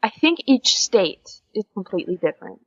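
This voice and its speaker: A woman's voice: mid-range voice, sing-song, moderate pace, slightly rough. Dull lo-fi recording, young American woman chatting. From Oklahoma, United States. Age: young adult. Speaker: podcast guest, exchange student. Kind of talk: casual interview chat. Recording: dull lo-fi audio.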